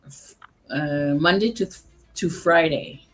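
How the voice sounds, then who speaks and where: A woman with a husky, slightly breathy smoker's voice talks at an unhurried pace. She chats casually as a guest on an online call, halting and laughing softly between phrases, with faint music in the background. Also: deep voice. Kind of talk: casual chat.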